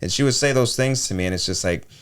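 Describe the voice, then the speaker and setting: A man with a deep voice co-hosting a podcast in casual chat, often restarting his sentences. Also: fast talker, clean tone. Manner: restarts and trails off often, conversational. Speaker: podcast co-host and freelance voiceover artist.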